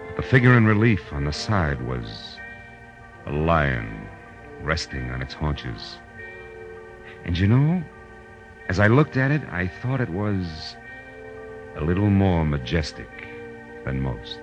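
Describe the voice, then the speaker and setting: A man's voice: extremely low pitch, very animated, takes it slowly, long pauses, full character voice. A man playing a hard-boiled, world-weary private eye in a 1940s radio detective drama, dry and laconic. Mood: reflective narration. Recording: dull old radio recording with music.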